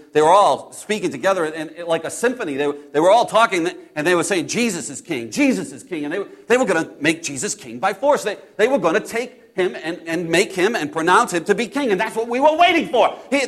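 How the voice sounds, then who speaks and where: A man performs an impulsive disciple in a dramatic first-person Bible monologue. His voice sits high for a man. He stumbles and repeats phrases for emphasis, moving between hushed storytelling and loud, emotional outbursts. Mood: awed, eager. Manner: halting, repeating phrases, swinging from hushed to shouting.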